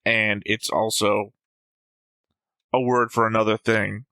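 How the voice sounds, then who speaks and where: A man's voice: lowish voice, flat delivery, takes it slowly, bright, forceful tone, clear, resonant tone, dry recording. A man chatting casually as a host of a reaction show. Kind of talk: casual chat.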